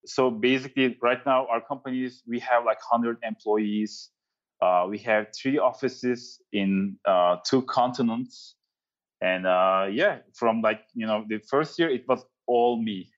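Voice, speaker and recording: A man from Turkey with a Turkish accent, answering interview questions in a halting way with many fillers. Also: medium pitch, dull audio. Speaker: guest, company founder.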